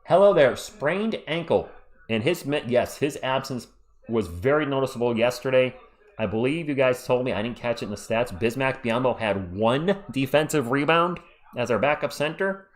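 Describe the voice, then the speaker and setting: A man's voice: mid-range voice, expressive, normal speed. Casual man hosting a live sports stream. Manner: chatty, reads viewer comments aloud. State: voice worn out. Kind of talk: casual live stream chat reading comments.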